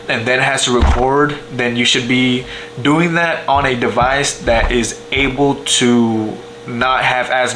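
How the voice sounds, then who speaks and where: A man's voice: medium pitch, noisy background. A young man giving a casual, rambling music production tutorial from a home computer, with lots of fillers and restarts. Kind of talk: casual screen-share tutorial. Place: small home kitchen, indoors.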